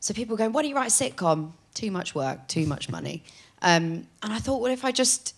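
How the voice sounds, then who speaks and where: A woman's voice: medium pitch, animated, fairly quick, gravelly. A woman in her late twenties, a podcast guest chatting casually, telling rambling stories with frequent giggles. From England. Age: late 20s. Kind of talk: casual chat.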